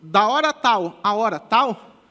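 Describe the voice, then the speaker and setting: Young Brazilian male presenter, high voice, loose and repetitive delivery, live stage mic. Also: lively intonation, slow, pushed and bright. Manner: casual, repeats "tá?" as a tag, many restarts. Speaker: conference speaker. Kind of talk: technical conference talk. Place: large conference stage with audience. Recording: long hall reverb.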